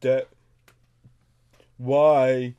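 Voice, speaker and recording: A man playing a childlike, confused, easily upset grown man on a prank call, halting and repeating words insistently. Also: mid-range voice, flat delivery, dark tone, smooth, dull audio. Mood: puzzled, insistent.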